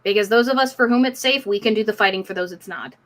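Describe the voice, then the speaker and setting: A woman's voice: mid-range voice, fast, a bit bright. A woman hosting a live community discussion stream, speaking in an emphatic, outspoken, casual way. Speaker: livestream host.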